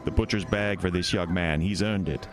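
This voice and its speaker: An actor playing a sly, sinister male carnival owner who talks in a smooth, teasing, drawn-out way in a horror radio drama. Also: low voice, steady tone, close to an everyday voice. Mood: commanding. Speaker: carnival showman. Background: music score.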